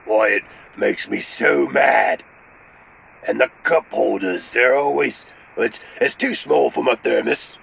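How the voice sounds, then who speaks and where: A man playing a furious, ranting ogre who bursts into yells in a comedic radio ad. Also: mid-range voice, takes it slowly, vocal fry, breathy edge, full character voice. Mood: indignant ranting. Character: furious raging ogre in a car dealer ad.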